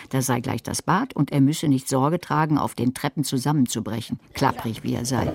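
An actress playing a bookish, cautious, sceptical woman in a radio play, husky-voiced and dry in dialogue and narration. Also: deep voice, mellow, a little hiss or hum.

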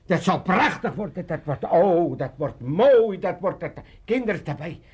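High-voiced excitable old father. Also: normal speed, slightly rough. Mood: excited, pompous. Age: older. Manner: rambling, stammering, pompous. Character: excitable self-important old Amsterdam father, dreaming of politics. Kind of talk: 1980s comic radio play acting.

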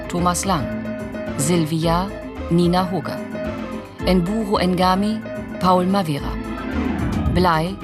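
A woman reading scripted title and cast credits as an announcer for a radio drama. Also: fairly low pitch. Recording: loud music behind the voice.

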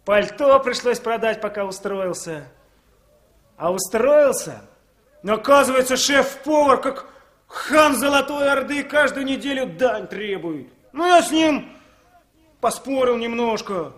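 High-voiced brash young man. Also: expressive, a little slow, a bit bright, heavily acted. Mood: aggrieved storytelling. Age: young adult. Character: talkative, brash young cook down on his luck. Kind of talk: 1960s Soviet radio drama acting.

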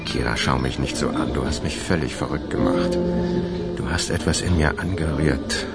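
Extremely deep-voiced, smitten man, music behind. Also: moderate pace. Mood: smitten, grave. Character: troubled, smitten man on the run. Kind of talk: German radio crime drama acting.

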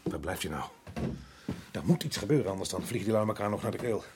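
An actor playing a brusque, impatient colonial resident in a radio drama, gruff and bossy. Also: male voice, deep voice, lively intonation, quick pace, a little mellow, slight background noise.

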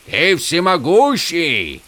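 A man's voice: a little high, sing-song, takes it slowly, pitched above the performer's own voice, exaggerated voice. A man voicing a desperate, defiant warrior prince in a fantasy audiobook, crying out to a god in impassioned demands and pleas. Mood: demanding. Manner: shouting, pleading, impassioned.

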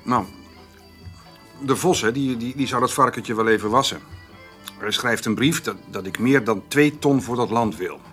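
A man playing a stubborn, homely farmer in a naturalistic radio drama, speaking haltingly and repeating his words. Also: medium pitch, fairly bright tone, soft background music, lightly acted. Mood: hesitant, confiding. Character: stubborn, plain-spoken farmer, hesitant and homely.

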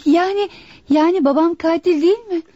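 An actress playing an anxious, affectionate young daughter in a radio play. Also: female voice, extremely high pitch, moderate pace, mellow, no echo. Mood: hopeful, relieved. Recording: dull, muffled old radio recording.

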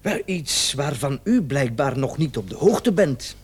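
Smug, sardonic doctor in radio drama. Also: male voice, mid-range voice, expressive, breathy edge. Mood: confiding, wry. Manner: theatrical, ironic, drawn-out emphasis. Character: smug, talkative doctor, bitterly sarcastic about his unfaithful wife. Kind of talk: Dutch radio play acting.

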